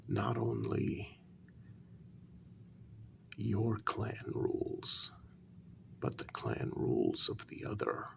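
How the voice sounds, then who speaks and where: A man playing a wounded, quietly menacing human who confronts a vampire queen in a soft-spoken ASMR role-play, with a breathy, gravelly half-whisper and deliberate pauses. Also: low voice, a little hiss or hum, full character voice. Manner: slow, deliberate, pausing between phrases.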